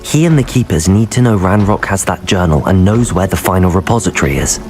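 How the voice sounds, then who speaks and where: An actor playing an earnest, determined young wizard student in a video game, with a serious, measured way of speaking. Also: male voice, low voice, animated, darkish tone. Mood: somber, resolved. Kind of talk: dramatic video game voice acting. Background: game music.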